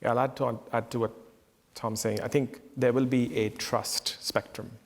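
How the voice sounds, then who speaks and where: A man's voice: mid-range voice, flat delivery, moderate pace, vocal fry, big-room sound. A man on a conference panel adding to the discussion in a casual manner. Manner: casual, uses "like" and "right?".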